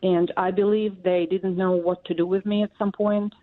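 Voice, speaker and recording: A woman's voice: on the low side, flat delivery. Russian-accented woman on a tinny phone line. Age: 40s. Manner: halting, many fillers and pauses. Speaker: guest. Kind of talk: interview answers.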